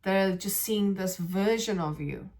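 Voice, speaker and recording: A woman's voice: mid-range voice, a little slow, darkish tone. A woman hosting a reflective spiritual podcast, who talks in a meandering way, searching for words and often restarting her sentences. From South Africa. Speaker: podcast host.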